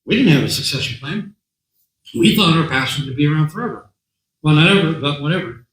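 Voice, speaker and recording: Man, hoarse voice, fairly high pitch, conversational guest speaker, clears throat often, echoey room. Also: lively intonation, brisk, a bit bright, slight background noise. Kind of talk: talk to a meeting. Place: meeting room.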